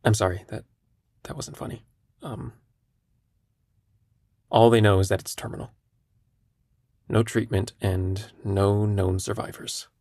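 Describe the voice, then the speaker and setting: A man playing a terminally ill young patient in an intimate audio drama, speaking in a husky, creaky voice with a halting, understated manner. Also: fairly low pitch, steady tone, a little mellow, no echo. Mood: numb, resigned. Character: young terminally ill male hospital patient, numb, gently self-deprecating.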